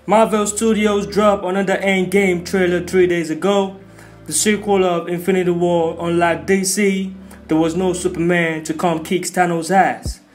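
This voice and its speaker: A man's voice: high-pitched, flat delivery, normal speed. A man hosting a video, giving hyped, slangy commentary on a movie trailer. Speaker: video host. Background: music.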